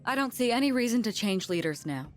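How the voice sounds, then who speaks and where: A woman's voice: a little high, lively intonation, quick pace, faint music behind, natural voice. A woman playing a poised, authoritative young commander in an English video game dub, formal and decisive. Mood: businesslike.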